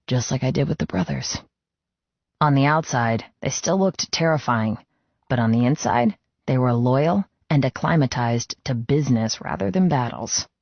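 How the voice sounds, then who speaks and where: A man's voice: medium pitch, no echo, muffled recording. A man narrates fiction in the first person, reading a dramatic script in a mid-range voice. The sound is close and dry, with a slightly dulled top end. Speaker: audiobook narrator.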